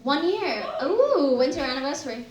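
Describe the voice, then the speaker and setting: Brash comedy MC, woman, high animated voice, live roomy venue. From India. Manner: teasing, brash, quick banter with audience. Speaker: comedy MC and host. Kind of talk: stand-up crowd work. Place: small comedy pub venue. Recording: roomy live pub gig. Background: laughing crowd.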